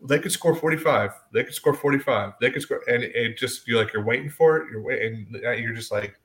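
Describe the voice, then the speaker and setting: A man's voice: medium pitch, a little fast, a bit bright. Bantering male sports podcast co-host. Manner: blunt, bantering, profane. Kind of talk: casual sports chat.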